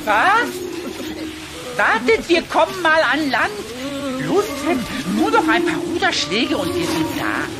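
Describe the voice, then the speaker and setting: An actor playing a cheeky, quick-witted cartoon rabbit in a children's audio play, animated and cocky, with music behind. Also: high voice, heavily acted. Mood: bossy.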